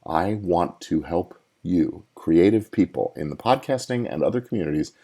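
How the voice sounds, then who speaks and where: A man's voice: deep voice, lively intonation, normal speed, mellow. A middle-aged man hosting a podcast, giving casual legal advice and thinking aloud as he goes. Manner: conversational, thinking aloud with restarts. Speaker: podcast host and entertainment lawyer. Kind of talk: casual live advice talk.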